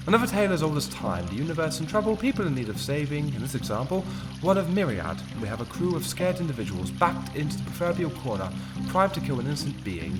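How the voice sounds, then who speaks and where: A man playing a theatrical, weary time-travelling Doctor, high-pitched. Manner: theatrical, wry and wordy. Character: weary, self-doubting old Doctor, an eccentric time traveller. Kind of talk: audio drama acting. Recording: music behind the voice.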